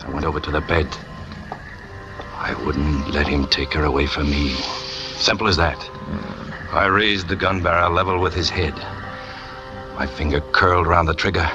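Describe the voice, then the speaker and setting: A man's voice: extremely low pitch, fairly even intonation, normal speed, long pauses. An actor playing a tormented, jealous man who narrates his crime with brooding intensity, in 1940s radio drama style. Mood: brooding, tense. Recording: muffled old radio recording with music.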